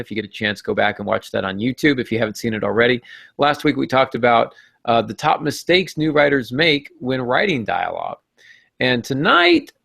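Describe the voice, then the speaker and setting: A man hosting a casual podcast discussion. His voice sits on the low side with a noticeable creak, and the sound is dry. He talks informally, with a joking streak. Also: a bit bright, no room sound. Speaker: podcast host.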